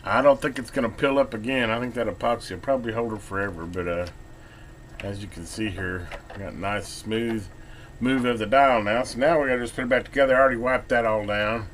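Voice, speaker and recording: A man's voice: lowish voice, fairly quick, a little hiss or hum. An American man giving a casual, hands-on demonstration, talking informally and folksily, half to himself as he works. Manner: folksy, informal, muttering to himself while working. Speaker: repair vlogger.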